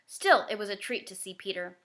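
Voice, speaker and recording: A woman narrating a children's novel as a scripted, expressive read-aloud. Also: medium pitch, a little fast.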